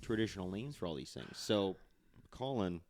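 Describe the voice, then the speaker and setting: A man's voice: low-pitched, lively intonation, moderate pace, darkish tone. A man hosting a podcast in loose, casual banter, joking and swearing freely. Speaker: podcast host.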